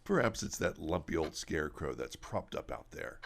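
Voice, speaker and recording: A man's voice: very deep voice, very animated, normal speed, full character voice. A man playing a superstitious, teasing farmer father in a horror radio drama, with old-fashioned rustic phrasing.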